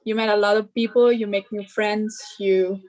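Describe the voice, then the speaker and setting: Italian teen girl, halting English. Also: mid-range voice, steady tone, darkish tone. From Italy. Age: teenager. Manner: halting, searching for words. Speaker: exchange student. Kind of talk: interview.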